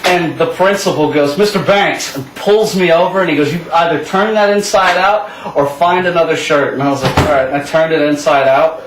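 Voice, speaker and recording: A man's voice: on the high side, fairly quick, few pauses. A young adult man telling rambling, jokey stories casually among friends. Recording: echoey, noisy room, far from mic.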